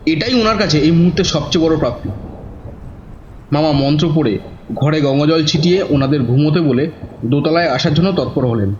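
A man's voice: on the high side, a little mellow, natural voice. Man as nephew narrating horror, slightly muffled. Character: devoted young nephew narrating his uncle's horror adventure. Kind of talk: dramatic scripted horror narration. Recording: dull lo-fi, music behind.

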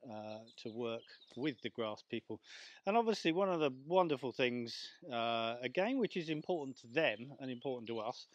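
A man's voice: medium pitch, no echo. A middle-aged Englishman with a chatty, rambling manner, presenting lawn care outdoors in a large garden on a lapel mic. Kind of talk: casual outdoor demonstration. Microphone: clip-on lapel mic.

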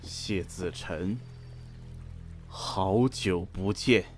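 A man's voice: sits low, extremely slow, soft background music. A man playing a cold, menacing general of ancient China in a costume radio drama, commanding and taunting. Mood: curt, cold.

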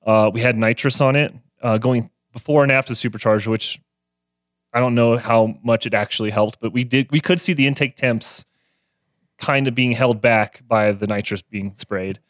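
A man's voice: medium pitch, moderate pace, fairly bright tone, no room sound, studio quality. A man, chatting casually about cars as a guest mechanic on a podcast. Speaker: guest mechanic and car tuner. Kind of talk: casual podcast car chat. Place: small garage-styled podcast studio. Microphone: close studio mic on boom arm.

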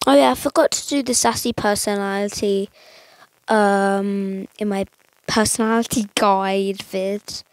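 A young woman chatting casually over a mobile game, rambling with lots of fillers, her voice a little croaky today. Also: mid-range voice, fairly even intonation, unhurried, dry recording, poor audio. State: slightly croaky voice. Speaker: gaming channel host. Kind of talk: casual gameplay commentary.

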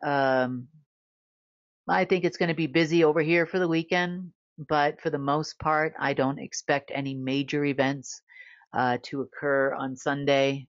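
A woman's voice: low-pitched, fairly even intonation, a little slow, no echo. A middle-aged woman speaks off the cuff in a studio, chatty and meandering with frequent fillers. The room is dead dry and the sound a little muffled. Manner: rambling, many fillers, laughs often. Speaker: guest reporter. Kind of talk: unscripted monologue to camera. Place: studio with plain backdrop.

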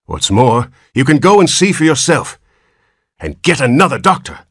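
A man's voice: on the high side, big pitch swings, normal speed, breathy edge, voice pushed up. A man voicing an irritable, harsh old family doctor who speaks sharply and cuttingly, raised and indignant.

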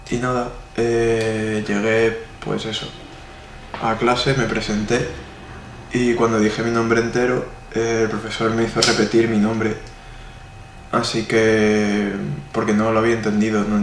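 A man's voice: on the low side, monotone, takes it slowly. A man in his twenties with a Spanish accent, answering interview questions in a rambling way with many fillers. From Spain. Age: 20s. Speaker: interviewee, artist. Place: indoor room. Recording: echoey room, noisy.

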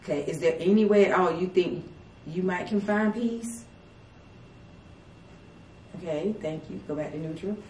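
A woman, leading a paranormal investigation and asking a spirit slow, polite questions. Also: on the low side, a little mellow, a little hiss or hum. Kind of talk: spirit communication session. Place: dim salon at night. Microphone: far camera. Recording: echoey room from far off.

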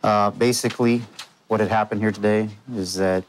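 A man's voice: fairly low pitch, steady tone, moderate pace, slight background noise. A male sheriff's deputy explaining an undercover operation and informing a suspect of an arrest in a matter-of-fact, procedural way, in a hotel room.

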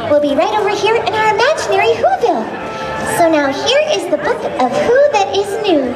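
A woman's voice: extremely high pitch, sing-song, a little fast, reverberant, over loud music, a loud crowd. An actress playing a cheerful little storybook girl, speaking in bubbly, exaggerated sing-song rhyme for children at an outdoor stage show. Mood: excited, encouraging. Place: outdoor theme park stage.

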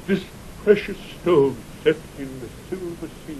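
A man's voice: measured pace, a little mellow, natural voice, rough recording. An actor playing a dying old nobleman, solemn and prophetic, declaiming weighty Shakespearean verse in an audio drama. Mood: fervent. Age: older. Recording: vintage 1960 recording with faint rumble.

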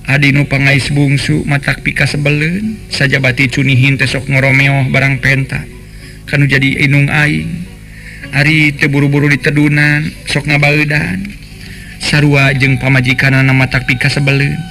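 A man's voice: mid-range voice, completely flat delivery, normal speed, vocal fry, bright, forceful tone. A man voicing an anxious young woman who muses and complains in earthy colloquial speech, in a dramatised folk tale. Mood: resentful. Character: anxious young woman, trusting niece, resentful of lecherous uncle. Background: faint music.

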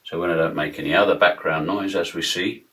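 A man in his fifties giving a measured, steady product demonstration with frequent ums, in a small room lined with acoustic foam, recorded on a close camera at a desk. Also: sits low.

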